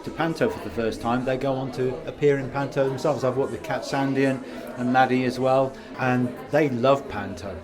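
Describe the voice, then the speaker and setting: A man's voice: mid-range voice, steady tone, moderate pace, over music. A man hosting a podcast, talking casually with his interview guest.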